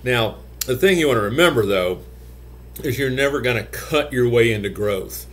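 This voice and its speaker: A man's voice: medium pitch, expressive, normal speed. Older man giving casual business advice. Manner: conversational, many "you know" fillers, gestures with hands. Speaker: gym business consultant. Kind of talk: talking-head business advice video. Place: office with shelves, indoors.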